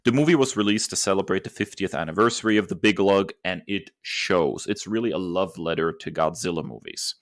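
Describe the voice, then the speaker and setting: A man's voice: deep voice, brisk, few pauses, no room sound, studio quality. A man co-hosting a podcast, giving emphatic, opinionated and enthusiastic movie commentary. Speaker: podcast co-host. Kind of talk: enthusiastic movie review talk.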